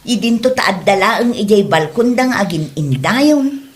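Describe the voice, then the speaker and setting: A woman's voice: medium pitch, expressive, moderate pace, lightly acted. A woman playing a chatty, nosy married villager in an amateur comedy drama, with a Filipino Ilocano accent. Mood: chatty, gossipy.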